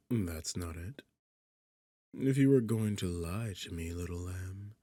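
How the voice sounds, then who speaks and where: Man acting a low, slow, smug demon king. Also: gravelly, darkish tone, no room sound, exaggerated voice. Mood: teasing, seductive. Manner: smug, slow, teasing, condescending. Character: arrogant, sarcastic male demon king, taunting and seductive. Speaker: audio roleplay performer. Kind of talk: ASMR fantasy roleplay acting.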